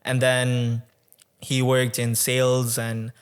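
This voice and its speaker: A man in his twenties from Tanzania, a podcast guest telling his story in a reflective, conversational way, often pausing with um and uh. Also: mid-range voice, completely flat delivery, clean tone. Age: 20s. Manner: reflective, with many um and uh pauses.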